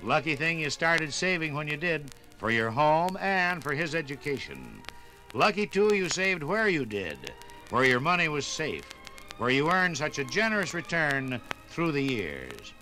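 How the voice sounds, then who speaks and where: An American man giving a folksy 1950s radio sponsor pitch, chatting directly to the listener. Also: huge pitch swings, measured pace, sharp tone, soft background music, low-quality recording. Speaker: radio host delivering sponsor message. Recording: vintage 1950s radio LP recording.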